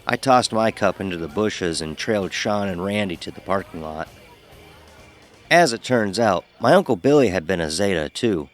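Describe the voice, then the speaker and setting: A man's voice: low-pitched, animated, moderate pace, soft background music. A man playing a sarcastic young fraternity pledge who narrates his own story in an audio horror drama, wry and sardonic. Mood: wry storytelling. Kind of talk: scripted first-person horror narration with dialogue.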